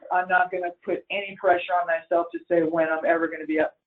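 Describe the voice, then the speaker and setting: A man with a high voice rambles bluntly about his work, swearing freely as thoughts pile up. He talks in a loose, casual interview manner. The sound comes through a thin, tinny phone line. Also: little pitch movement, quick pace. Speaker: musician.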